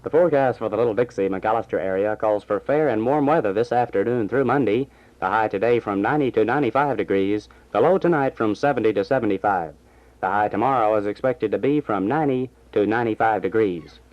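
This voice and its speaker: A man reading scripted news and announcements as a 1960s radio newsreader, formal and measured. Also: lowish voice. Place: radio station studio. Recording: dull lo-fi vintage AM radio recording.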